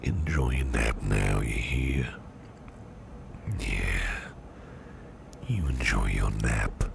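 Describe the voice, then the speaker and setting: A man playing a gentle, protective businessman boss in an ASMR roleplay, murmuring tender reassurance in a breathy near-whisper. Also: rumbling low, lively intonation, drawn-out words, noisy background, heavily acted. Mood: soothing, tender. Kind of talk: ASMR roleplay, soothing personal attention.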